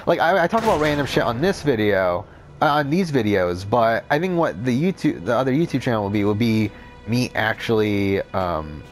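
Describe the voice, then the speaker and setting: A young man with a cold, rambling through casual gameplay commentary, talking off the top of his head with a deep, unclear voice and frequent swearing. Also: animated, moderate pace, faint music behind. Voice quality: deep, not clear. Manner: rambling, unscripted, says whatever comes to mind, swears often. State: has a cold. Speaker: Let's Play commentator.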